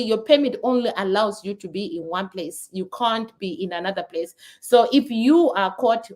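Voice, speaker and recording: A woman's voice: mid-range voice, a little mellow. A woman in her thirties from Zimbabwe with a Zimbabwean accent, talking earnestly to her viewers in a casual vlog, often restarting and repeating words. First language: not English. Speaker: vlogger. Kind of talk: casual talk to camera.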